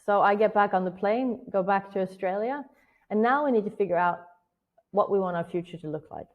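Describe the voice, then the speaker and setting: A woman's voice: mid-range voice, a little fast, narrow, tinny audio. A woman in her thirties from Sweden with a Swedish accent, giving an earnest, scripted webinar talk as a virtual event strategist. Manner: earnest, personal storytelling.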